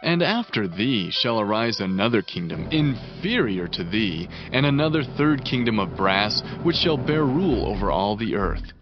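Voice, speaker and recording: An actor playing a devout, solemn Hebrew prophet in a dramatized scripture audiobook, speaking in reverent, emphatic oratory. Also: male voice, fairly low pitch, big pitch swings, moderate pace, few pauses. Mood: grave, proclaiming. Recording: dull band-limited audio under prominent music. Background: music.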